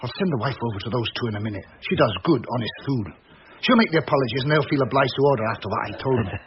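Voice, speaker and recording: Fast-talking, grumbling country pub landlord played by a man. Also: medium pitch, lively intonation, natural voice. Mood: wry, matey. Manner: blunt, grumbling, wry banter. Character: chatty, cynical ex-crook country pub landlord. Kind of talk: naturalistic radio drama acting. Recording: muffled, noisy old radio recording.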